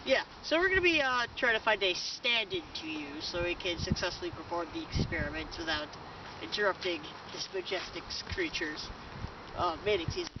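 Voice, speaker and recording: A man presenting a mock nature documentary for a student science video, halting and playfully mock-serious. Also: high voice, very animated, vocal fry. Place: outdoors beside a dam. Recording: distant lo-fi mic, loud outdoor water noise.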